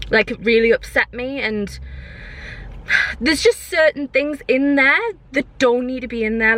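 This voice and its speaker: A woman's voice: fairly high pitch, fairly bright tone. A young woman chatting casually for a vlog, airing her opinions in an exasperated, emphatic way and repeating herself. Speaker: vlogger.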